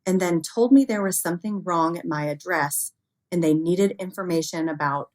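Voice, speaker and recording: A woman with a notably low voice speaks as an expert guest in an interview. She explains ideas in a conversational way and leans on everyday examples and hypotheticals. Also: normal speed, a little mellow. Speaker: interview guest, expert on human error in cybersecurity.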